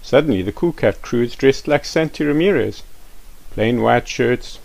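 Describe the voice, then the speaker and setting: A middle-aged man reading a children's storybook aloud, adding gentle questioning asides for young listeners. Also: medium pitch, lively intonation, moderate pace, creaky. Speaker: children's author reading his book.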